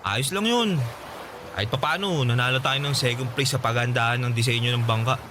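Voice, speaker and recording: An actor playing a loyal, consoling young friend in a Filipino radio drama, speaking casually with a Filipino accent. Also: male voice, mid-range voice, fairly quick, background noise, lightly acted. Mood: reassuring, upbeat.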